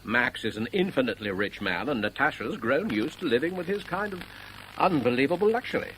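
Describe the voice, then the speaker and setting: An actor playing a mild, reasonable, sympathetic British husband and old friend in a 1970s radio drama. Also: male voice, medium pitch, animated, brisk, fairly bright tone, natural voice, rough recording. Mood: sympathetic, explaining. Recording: faint hiss on old radio broadcast.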